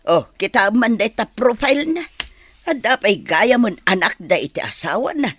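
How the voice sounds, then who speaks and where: A woman's voice: mid-range voice, sing-song, moderate pace, fairly bright tone, slightly rough, no room sound. Middle-aged nagging Filipino mother, worried, swooping radio drama delivery, dry close sound. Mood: scolding.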